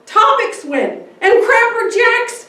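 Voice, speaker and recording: A woman voicing a panicked young boy who shouts urgent warnings in a dramatic reading. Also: very high voice, very animated, takes it slowly, voice pushed up, exaggerated voice. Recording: roomy echo, distant mic, faint noise.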